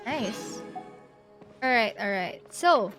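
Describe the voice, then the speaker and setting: A woman with a fairly high voice chats casually through a live video game stream. She thinks aloud in an easygoing, giggly way. Music plays behind her voice. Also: lively intonation, slow pace.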